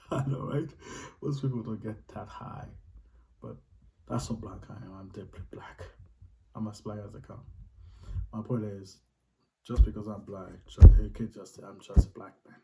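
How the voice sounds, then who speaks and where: A man's voice: on the low side, fairly even intonation, normal speed, vocal fry, pauses often, background noise. A man with a Haitian accent, earnestly explaining his faith in casual talk, restating his points for emphasis.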